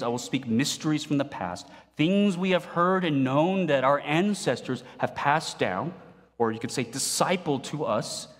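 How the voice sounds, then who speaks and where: A man in his forties preaching a sermon from a pulpit, animated and playful, asking the congregation questions. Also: medium pitch. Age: 40s. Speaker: pastor. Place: church stage at a pulpit, indoors. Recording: long reverb of a hall.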